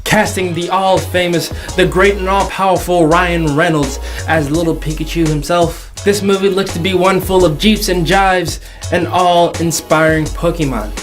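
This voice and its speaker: A man's voice: high-pitched, fairly even intonation, normal speed. A young man hosting a casual entertainment commentary, jokey and slangy, stretching words for emphasis. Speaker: video host. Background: background music.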